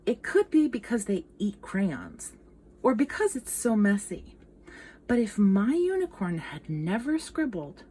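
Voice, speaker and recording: A woman reading a children's picture book aloud in an expressive, dramatic storytelling manner. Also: mid-range voice, slight background noise. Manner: expressive, dramatic, with gasps and whispered asides.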